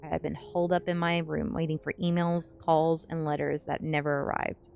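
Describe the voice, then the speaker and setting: Low-pitched woman with creaky voice, ASMR reading. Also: flat delivery, normal speed, darkish tone, slight background noise. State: sleepy. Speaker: streamer reading books aloud. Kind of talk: ASMR book reading and casual chat with viewers. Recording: music playing behind the voice. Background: music.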